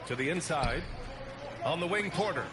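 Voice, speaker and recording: An actor playing a polished TV play-by-play announcer calling a basketball video game. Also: male voice, fairly high pitch, animated, moderate pace, a loud crowd, close to an everyday voice. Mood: focused. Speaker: play-by-play sports commentator. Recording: distant, echoey, loud music over game audio. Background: loud music, bouncing basketball.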